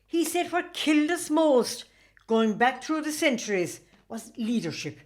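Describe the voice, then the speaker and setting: An actress as a kindly Irish countrywoman in a radio drama. Her voice sits high. She talks in a homely, effusive way, full of blessings and country turns of phrase. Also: very animated, unhurried. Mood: earnest. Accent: rural Irish. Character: kindly, devout Irish country housewife, hospitable and talkative. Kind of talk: radio play acting.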